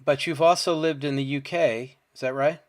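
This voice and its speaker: A man's voice: a little high, steady tone, moderate pace. A man hosting a podcast interview, asking friendly, conversational questions and checking facts as he goes. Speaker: podcast host.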